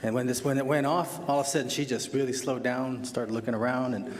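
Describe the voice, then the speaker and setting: A man serving as mayor and chairing a city council meeting, talking in a relaxed, joking way. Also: medium pitch, quick pace, crowd noise. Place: council chamber. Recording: echoey chamber with background noise.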